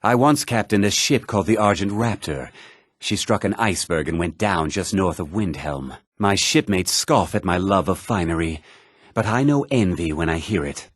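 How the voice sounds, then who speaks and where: An actor playing a vain, pompous former ship's captain and fletcher with flowery speech in a video game. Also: male voice, low voice, animated, normal speed, husky, no room sound, studio quality. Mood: smug, genteel. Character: vain, silver-tongued male ex-captain and fletcher. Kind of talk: video game character lines.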